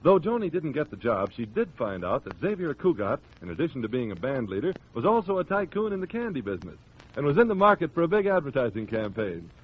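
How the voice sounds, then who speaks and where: Male 1940s radio announcer, animated mid-pitched delivery, slightly noisy old recording. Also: fast talker, phone-quality sound. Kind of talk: 1940s scripted radio narration.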